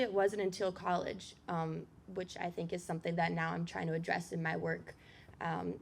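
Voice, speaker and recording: A woman in her twenties with a slightly low voice introduces herself on a youth panel. She talks casually and fills her pauses with ums. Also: vocal fry, a little hiss or hum. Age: 20s.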